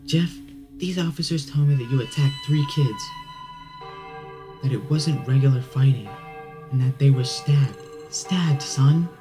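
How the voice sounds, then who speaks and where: A man's voice: medium pitch, unhurried, music in the background, pitched above the performer's own voice, lightly acted. A man voicing an angry, shocked mother, emphatic and scolding, in a dramatic horror story. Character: angry, shocked mother confronting her son.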